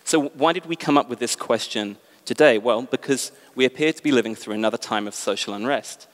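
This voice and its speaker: A man's voice: medium pitch, a little fast. British man moderating in a reverberant hall. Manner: articulate lecturing delivery with frequent ums. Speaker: debate moderator. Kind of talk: opening remarks at a public debate. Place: large exhibition hall with an audience. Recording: long reverb of a large hall.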